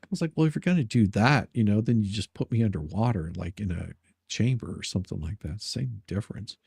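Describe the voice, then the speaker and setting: Guy rambling on a livestream, kinda husky low voice, dry close sound, jokes around crudely. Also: expressive, fairly quick, a little mellow, no room sound. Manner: rambling, repeats words, crude joking. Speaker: livestream host. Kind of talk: casual livestream chat.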